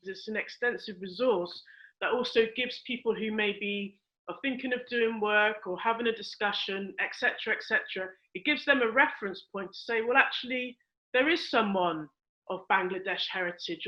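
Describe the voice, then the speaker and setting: A woman speaking off the cuff as a panelist, in a measured, opinionated and emphatic way. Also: medium pitch, sharp tone, highs cut off.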